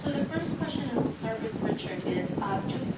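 A woman moderates a public panel in a fairly high voice. She is heard from a distance in an echoey room, under loud noise. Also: expressive, a little fast. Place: echoey indoor event room. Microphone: distant microphone.

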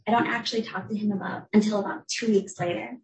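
A young woman from the United States giving an academic talk as a researcher, explaining in a clear, measured way. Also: mid-range voice, fairly even intonation. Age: young adult. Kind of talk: academic lecture. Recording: echoey room, voice from a distance.

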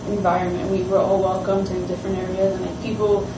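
A woman's voice: mid-range voice, completely flat delivery, quick pace. A woman from Honduras, a student on a panel, talking casually with frequent "like" fillers. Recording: echoey room, distant mic, noisy.

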